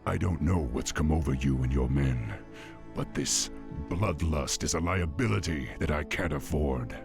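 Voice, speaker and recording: A man's voice: a bass voice, measured pace, gravelly, over music, full character voice. A man voicing a stern, resolute young orc warchief in a video game, firm and commanding. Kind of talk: video game cinematic acting.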